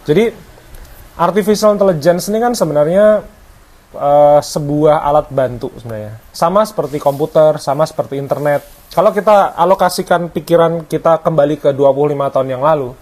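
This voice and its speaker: A man, his voice sitting a little high. He talks to camera in a loose, conversational way, pausing on fillers and dropping in English words. The sound is close and clean, as from a clip-on mic. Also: a little mellow. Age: 30s. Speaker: vlogger and photographer. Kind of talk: casual talk to camera. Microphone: clip-on lavalier.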